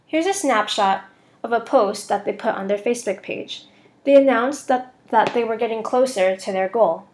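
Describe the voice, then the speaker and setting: Higher-pitched young woman presenting. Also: moderate pace, a little mellow. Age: young adult. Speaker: student presenter. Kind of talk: scripted presentation voice-over.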